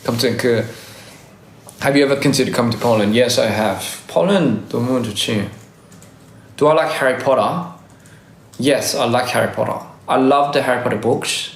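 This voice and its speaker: A man's voice: mid-range voice, quick pace, pauses often, roomy sound. A man in his thirties from Australia with a mixed Australian and American accent, chatting casually on a livestream and answering comments, rambling, correcting himself and laughing often. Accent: mixed Australian and American English. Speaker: livestream host.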